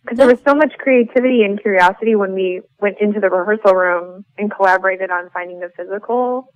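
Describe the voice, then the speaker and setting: A woman's voice: mid-range voice, fast, no echo. Casting: female playwright interviewee, casual conversational delivery, dry and slightly lo-fi sound.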